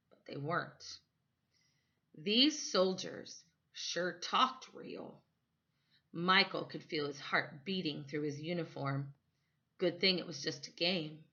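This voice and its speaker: Slow, bright-voiced woman reading a story. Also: lowish voice. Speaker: storytime reader. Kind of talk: expressive children's story reading. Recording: slightly dull lo-fi recording.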